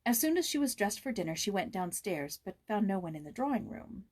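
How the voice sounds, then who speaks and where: A woman narrating a children's classic aloud as an expressive audiobook reader. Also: medium pitch, fairly quick.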